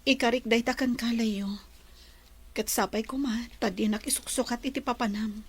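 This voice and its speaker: A woman's voice: fairly high pitch, steady tone, normal speed, husky. A woman with an Ilocano accent acting an anxious, loving character in a radio drama. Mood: tender, pleading.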